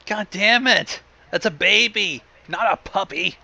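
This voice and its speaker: A man's voice: sits high, a little slow, creaky, bright, forceful tone. A man giving casual reaction commentary as a co-host of a story reading, blunt and sweary.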